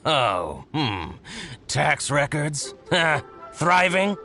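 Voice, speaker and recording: Scheming male merchant, video game, hugely animated pitch, exaggerated grumbling, spiteful, music behind. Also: very slow, full character voice. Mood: indignant. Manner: exaggerated, fawning then grumbling. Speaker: shopkeeper. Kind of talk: video game dialogue acting. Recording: background music under the voice.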